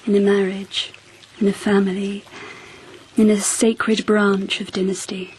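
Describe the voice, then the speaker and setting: An actress playing a regal, reflective empress in a radio drama, stately and measured in manner. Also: female voice, medium pitch, little pitch movement, darkish tone, husky, poor audio. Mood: wistful, musing. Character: regal, reflective empress of 10th century Japan.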